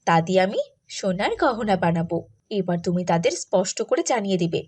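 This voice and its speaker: A woman's voice: fairly low pitch, animated, fairly quick, darkish tone, slightly rough, pitched below the performer's own voice. A woman voicing a greedy, scheming wife in a cartoon, scolding and demanding. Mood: bossy, greedy.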